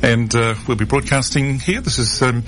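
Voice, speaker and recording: A man's voice: medium pitch, moderate pace, fairly bright tone, slight background noise. A man hosting a community radio health show in a studio, talking with many fillers and restarts. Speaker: radio host.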